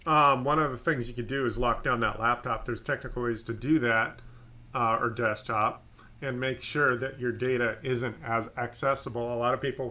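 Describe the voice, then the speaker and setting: A man giving casual technical advice as a tech support host, explaining in a measured, plain-spoken way. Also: mid-range voice, sharp tone.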